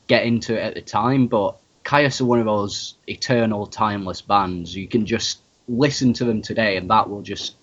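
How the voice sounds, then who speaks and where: A man's voice: fairly low pitch, moderate pace, hardly any pauses, fairly bright tone. A man hosting a podcast, asking questions in a friendly, conversational way. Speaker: podcast host, interviewer. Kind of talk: casual interview. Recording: lo-fi dull sound.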